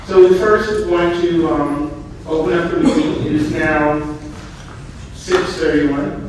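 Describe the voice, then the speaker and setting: A woman's voice: low voice, steady tone, slow pace. Woman, association president, formal, opening a meeting, distant, very echoey, noisy room. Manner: formal, reading names with ums. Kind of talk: chairing a meeting.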